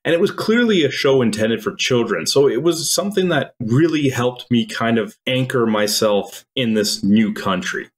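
A man from Croatia co-hosting a podcast, explaining things in a measured, storytelling way. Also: mid-range voice, expressive. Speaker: podcast co-host. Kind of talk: casual podcast chat.

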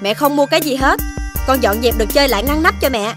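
A woman voicing a caring but firm mother in a children's cartoon, expressive and motherly, with music behind her. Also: high voice, quick pace, natural voice. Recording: music under the voice.

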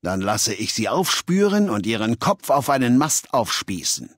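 An actor playing a cold, arrogant warlord dictator in a video game, speaking with condescending, menacing authority. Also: male voice, mid-range voice, big pitch swings, moderate pace, a bit bright, dry recording. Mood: cold, threatening. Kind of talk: video game dialogue acting. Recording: dry studio recording.

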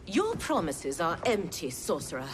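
A woman's voice: on the low side, huge pitch swings, a little slow. An actress playing a stern, skeptical warrior woman in a video game, with a cool, formal, distrustful delivery. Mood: scornful. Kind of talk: dramatic video game voice acting. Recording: music behind the voice.

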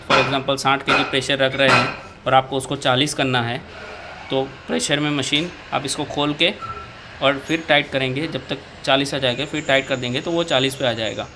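An Indian-accented man walks through the controls of a machine as he operates it, speaking in a level, unvaried tone. Loud handling and machine noise sits under his voice from a phone mic in a workshop. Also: medium pitch, fairly even intonation, moderate pace. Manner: explains step by step while operating the machine. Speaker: machine seller demonstrating equipment. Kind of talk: hands-on product demonstration. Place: indoor workshop floor.

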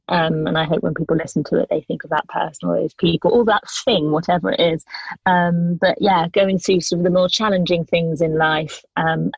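A woman singer-songwriter in her thirties, answering interview questions thoughtfully with many pauses and restarts. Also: on the low side, creaky, slightly rough, no room sound, lo-fi sound.

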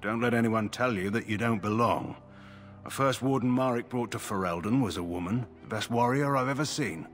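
An actor playing a grave, weary lordly war commander in a fantasy game, speaking in a measured, solemn way. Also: male voice, mid-range voice, a bit bright, faint music behind, natural voice. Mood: grave, appraising. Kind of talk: scripted fantasy game dialogue.

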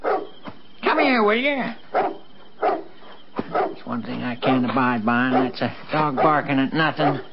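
A man's voice: medium pitch, very animated, slow, pauses often. A man playing a folksy, rambling old country fellow in an old-time radio drama. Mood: folksy grumbling. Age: older. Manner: folksy, rambling, muttering to himself. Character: folksy old country man, grumbling but kindly dog owner. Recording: dull, noisy old radio recording.

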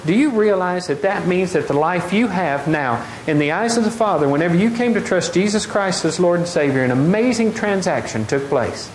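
A middle-aged man preaching a lively sermon, often asking the congregation questions. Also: high voice, moderate pace, few pauses. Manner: animated, gestures widely, asks the congregation questions. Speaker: pastor. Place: large wooden open-air church hall. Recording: echoey hall, faint noise.

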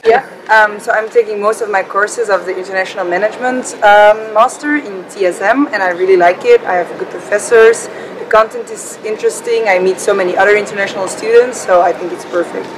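A woman's voice: medium pitch, a little fast, few pauses. A woman in her twenties from Belgium, speaking casually about her studies in an outdoor interview. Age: 20s. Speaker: exchange student. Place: outdoors by a river. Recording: noisy outdoor recording.

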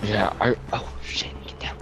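A man playing a gruff, weary father in an improvised zombie game roleplay, terse and mumbling. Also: a little slow, music in the background, close to an everyday voice. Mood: alarmed.

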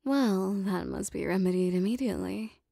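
Casting: actress as possessive, adoring demoness for intimate roleplay audio. Soft, warm dark tone, very close dry recording, formal diction. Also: female voice, medium pitch, no room sound. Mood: tender, hungry. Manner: soft, breathy, formal archaic phrasing, lingering pauses. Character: lovestruck possessive female demon bound to a sorcerer.

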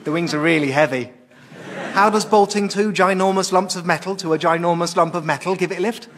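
A man's voice: high voice, fairly quick, a bit bright. An actor playing a naive, eager young English man, chirpy and earnestly puzzled, in a radio sitcom.

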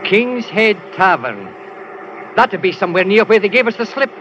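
A man's voice: high-pitched, very animated, moderate pace. An actor playing a hot-tempered male henchman who curses and gives orders, in 1930s radio drama style. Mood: angry. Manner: impatient, cursing. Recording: muffled old radio broadcast, faint hiss.

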